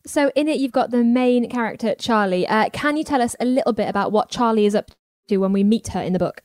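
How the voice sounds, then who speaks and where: A woman's voice: fairly high pitch, fast talker, darkish tone, dry recording, clean studio sound. A woman interviewing an author in a chatty, upbeat way. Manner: chatty, upbeat, laughs along. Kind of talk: interview questions.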